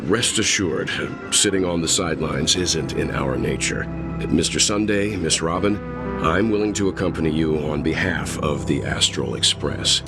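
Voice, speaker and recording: A very deep, calm older gentleman. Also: loud music behind the voice. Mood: composed. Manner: measured, composed and reassuring. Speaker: game character. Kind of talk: game cutscene voice acting. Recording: game score playing loudly underneath.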